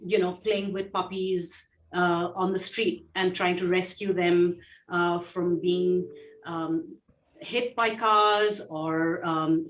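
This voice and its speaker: A woman from India with an Indian accent, co-hosting a video call, speaking gently with many fillers and pauses. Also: fairly low pitch, echoey room, recorded from a distance, rough recording. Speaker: guest co-host, shelter veterinary director. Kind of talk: casual video call chat.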